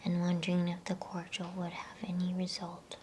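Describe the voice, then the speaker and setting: A woman reading a bedtime story in a breathy, soothing ASMR whisper. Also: lowish voice, completely flat delivery, a little hiss or hum. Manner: hushed, unhurried, soothing delivery. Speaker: storybook reader.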